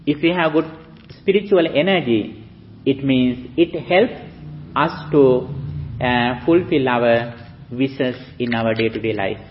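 A man, a Buddhist monk from Sri Lanka with a Sri Lankan accent, giving a slow, halting dharma talk with pauses for an interpreter. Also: mid-range voice, slight background noise. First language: Sinhala. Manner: slow, halting speech with many fillers. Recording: dull lo-fi recording.

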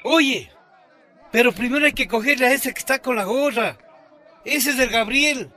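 Very shrill, agitated vigilante. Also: male voice, moderate pace, some chatter behind. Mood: menacing. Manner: agitated, shouting. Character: hot-headed vigilante townsman hunting young communists. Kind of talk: radio drama acting.

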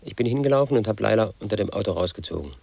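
An actor playing a brooding, paranoid man in his thirties, telling his own story as a flat inner monologue in a radio play. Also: lowish voice, very fast, vocal fry, breathy edge, dry recording, full character voice. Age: 30s. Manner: flat, brooding, quietly obsessive.

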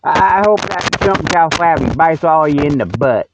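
A man's voice: on the high side, animated, normal speed, heavy background noise. A man hosting his own radio show, rambling solo in a folksy, colloquial, teasing way. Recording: tinny, band-limited radio sound, very dry.

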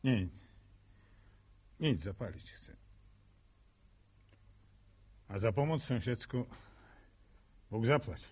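An actor playing a proud, resentful village farmer in a radio drama, blunt and sardonic. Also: male voice, mid-range voice, animated, slow pace, a little mellow, slightly rough. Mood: grimly restrained.

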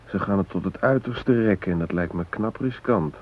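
An actor playing an anxious but resigned hostage in a radio drama, reflective and hesitant, with wry fatalism. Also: male voice, deep voice, normal speed, lightly acted. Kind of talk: naturalistic radio drama acting. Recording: noisy, narrow-band old radio recording.

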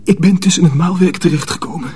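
An actor playing a stubborn, defiant young apprentice sorcerer in a radio drama, earnest and resolute. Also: male voice, fairly high pitch, fast, darkish tone, slightly rough. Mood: weary, suffering.

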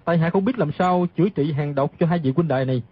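An actor playing a proud, troubled learned physician who speaks formally in a radio drama. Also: male voice, fairly high pitch, quick pace, slightly rough, phone-quality sound. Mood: ashamed. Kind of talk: dramatised audiobook reading.

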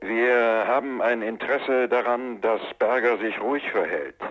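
An actor playing a cold, menacing go-between in a radio crime drama, husky, insistent and businesslike. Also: male voice, mid-range voice, a little slow, highs cut off, close to an everyday voice. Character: cold, menacing male go-between offering bribes.

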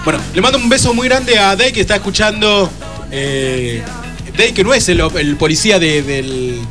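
Animated Argentine male radio host, high-pitched. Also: normal speed. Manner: jokey, chatty, informal. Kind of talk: casual live radio chat with callers. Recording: radio talk with music underneath. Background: music.

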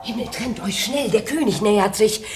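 Eine Schauspielerin spielt in einem klassischen Hörspiel eine besorgte Königin, die drängend beschwichtigt. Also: female voice, medium pitch, lively intonation, normal speed, darkish tone, lightly acted. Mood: alarmed. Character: queen, anxious soothing wife and stepmother. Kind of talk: classical verse radio drama.

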